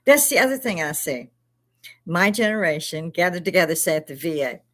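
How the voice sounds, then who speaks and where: An older woman with a Chicago accent tells rambling stories on her live stream. Her voice sits a little low, and she laughs often and swears freely. She keeps it casual and chatty. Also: very animated, fairly quick, a bit bright. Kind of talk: casual storytelling chat.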